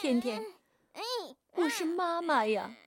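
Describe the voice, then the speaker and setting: A woman's voice: high-pitched, expressive, slow, crowd noise. An actress playing a devoted, long-suffering middle-aged mother in a radio drama, with a tender, emotive, pleading delivery. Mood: tearful pleading.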